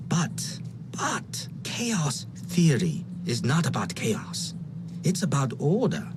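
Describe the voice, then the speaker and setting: An actor playing a cryptic, rambling game character who stammers and drops into whispers as he explains a grand scheme, with music behind him. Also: on the low side. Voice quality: halting, stammering, then whispery and intense. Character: cryptic, rambling male conspirator explaining chaos theory. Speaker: game character briefing the player. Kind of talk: voiced game cutscene.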